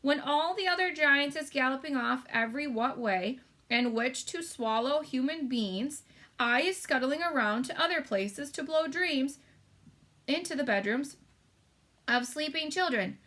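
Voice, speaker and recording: A woman voicing a big, gentle storybook giant who speaks wistfully in muddled, folksy grammar. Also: high-pitched, animated, measured pace, pitched above the performer's own voice, heavily acted. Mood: proud.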